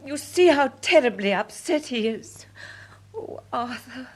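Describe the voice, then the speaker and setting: An actress playing a gentle, anxious middle-aged mother with an English accent, fretful and hesitant, in a period radio drama. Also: high-pitched, lively intonation, measured pace, breathy edge, exaggerated voice. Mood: fearful, sorrowful.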